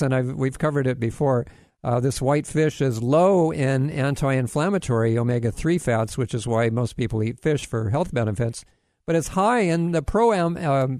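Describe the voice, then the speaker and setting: A man's voice: medium pitch, darkish tone, no room sound, studio quality. A man from Hawaii hosting a casual radio health segment, rambling with many fillers and restarts and corny jokes. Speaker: radio health show host.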